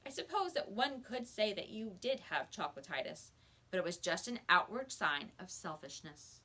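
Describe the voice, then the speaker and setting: A woman plays an old storybook shopkeeper, a stern man who stays unhurried. He lectures in measured, instructive phrases with crisp, bright tone and lively rises and falls. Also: mid-range voice. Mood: firm, moralizing. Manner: measured, didactic.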